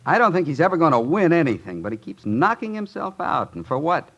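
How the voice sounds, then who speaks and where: A man's voice: on the high side, big pitch swings, fairly quick, fairly bright tone, muffled recording, heavily acted. A young man playing a puzzled, plain-spoken American college athlete in a 1950s radio sitcom. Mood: baffled.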